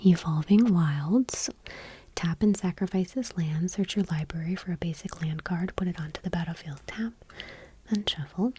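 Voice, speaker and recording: A woman ASMR host softly reading in a hushed, breathy whisper, gentle and unhurried, item by item. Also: on the low side, slight background noise. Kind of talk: soft-spoken ASMR, whispered card reading.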